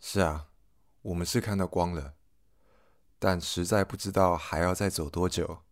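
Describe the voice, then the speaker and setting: Deep voiced guy doing a reflective audio blog monologue, really dry recording. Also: fairly even intonation, normal speed, no echo. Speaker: podcast host. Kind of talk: scripted reflective personal monologue.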